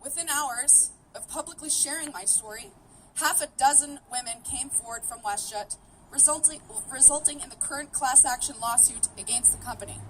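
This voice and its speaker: A high-pitched woman in her thirties, whose was Greek, delivers a steady, determined speech outdoors. The mic sits well away from her, and a faint hiss runs underneath. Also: normal speed. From Canada. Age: 30s. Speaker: rally speaker. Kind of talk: prepared public speech. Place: outdoor rally. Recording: voice picked up from a distance.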